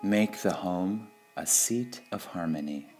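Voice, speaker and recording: Slow, deep-voiced man reading spiritual sayings. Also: darkish tone. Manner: measured, deliberate, with pauses between short phrases. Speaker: reader of spiritual quotations. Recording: music under the voice. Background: background music.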